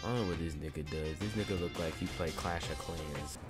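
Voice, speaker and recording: A man's voice: rumbling low, brisk, over music. A young man giving casual, slangy reaction commentary, often repeating himself as he mocks what he watches. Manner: slangy, repetitive, mocking. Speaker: reaction streamer. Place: small room at home. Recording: anime soundtrack playing behind.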